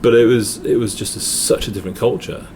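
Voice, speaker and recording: Brief: middle-aged English man, low voice, anecdotal guest, faint hum behind. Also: fairly even intonation, fast, darkish tone. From England. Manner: storytelling, restarts with repeated words. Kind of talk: casual chat. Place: noisy hotel.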